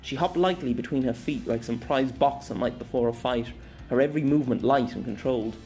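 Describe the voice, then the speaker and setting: A man's voice: mid-range voice, a little fast, faint music behind, rough recording. Man narrating an amateur audiobook. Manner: steady storytelling, occasional slips and restarts.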